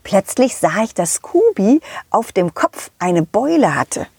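A woman voicing a confident, loyal, kindly dog who tells his adventures to children in a chatty, halting, empathetic way. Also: mid-range voice, very animated, a little mellow, slightly rough, dry recording, heavily acted. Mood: earnest, kindly. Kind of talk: children's audio play storytelling.

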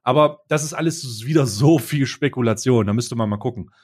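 A man's voice: mid-range voice, a little mellow. A young German man chats as a podcast co-host in a mid-range male voice. His delivery is casual and slangy. He often halts and restarts while he searches for the right word. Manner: halting, slangy, searching for words. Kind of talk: casual unscripted podcast chat.

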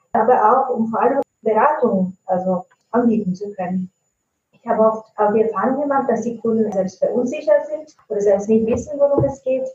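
A woman's voice: a little high, dark tone. Hesitant Italian woman on a distant mic. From Italy. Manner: thoughtful, frequent hesitation fillers. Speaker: translator and trainer, interview guest. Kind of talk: interview answers. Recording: roomy, distant, dull lo-fi sound.

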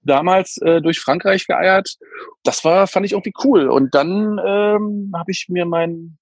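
Casual podcast guest in his thirties, husky and dry, chatty and full of fillers. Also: sits high, measured pace, no echo. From Berlin, Germany. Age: 30s. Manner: rambling, many fillers and restarts. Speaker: podcast guest, camper van renter. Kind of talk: casual interview chat.

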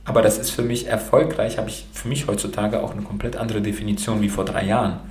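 A man's voice: lowish voice, flat delivery, quick pace, a little mellow. Man with a rolled R, echoey room. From Romania, raised in Germany. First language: Romanian and German, bilingual. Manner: frank, with casual swearing and many fillers. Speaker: podcast host being interviewed. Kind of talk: casual motivational talk.

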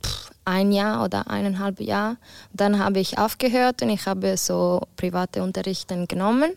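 A woman's voice: mid-range voice, fairly even intonation, moderate pace, gravelly, darkish tone, smooth, no echo. A woman from Ticino with an Italian accent, whose first language is Italian, chatting as a singer guest with breezy, upbeat replies. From Ticino, Switzerland. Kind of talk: casual interview chat.

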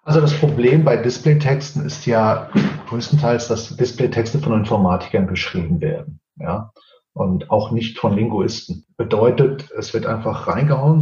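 A man's voice: medium pitch, mellow. A Portuguese man speaking German with a Portuguese accent, a professional translator explaining his work in an interview and often tagging sentences with "ja". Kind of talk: interview answers. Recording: roomy, slightly dull sound.

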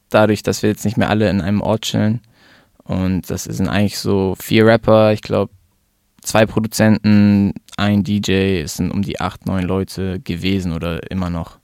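Young male rapper guest, low flat delivery, relaxed rambling chat, dry close mic. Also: clear, resonant tone, dry recording. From Germany. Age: 20s. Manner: relaxed, rambling with fillers and restarts. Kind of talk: casual interview chat.

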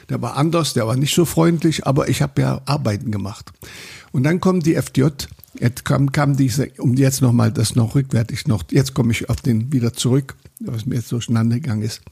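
Older guy, raspy gravelly voice, rambly interview storytelling, keeps restarting. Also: mid-range voice, moderate pace, a little mellow. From Saxony, Germany. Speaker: interviewee, photographer. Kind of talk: recollecting in a conversational interview.

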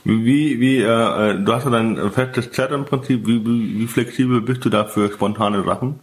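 A man hosting a casual interview, asking questions in halting phrases broken up by frequent hesitations. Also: medium pitch, fairly even intonation. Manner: halting, frequent filler sounds.

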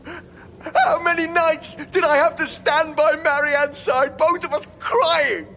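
A man's voice: extremely high pitch, fairly even intonation, slow, sharp tone, in a large hall, a little hiss or hum, heavily acted. An actor playing an intense, tormented, menacing man in an audio drama. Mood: anguished, tearful. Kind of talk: full-cast audio drama acting.

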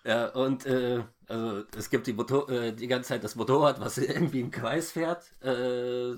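A man talking casually as he recaps a story in a halting, stammering way with many false starts. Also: mid-range voice, fairly even intonation. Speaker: podcast co-host recapping a film. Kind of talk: casual unscripted plot recap.

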